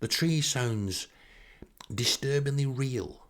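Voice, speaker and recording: A man's voice: mid-range voice, slow pace, a little hiss or hum. A man with a Northern English accent telling comic first-person stories as a podcast host, with a wry, sarcastic manner. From northern England.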